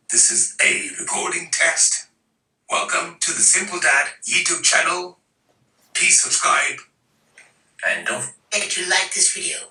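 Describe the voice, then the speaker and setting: A man's voice: fairly high pitch, sharp tone. A man speaking a short test recording, altered by a voice changer filter. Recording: echoey distant playback through phone speaker.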